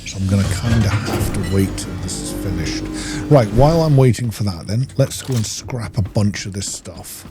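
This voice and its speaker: A man's voice: on the low side, expressive, moderate pace, music in the background. A man giving casual gameplay commentary, thinking aloud in an informal way. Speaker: gaming video host.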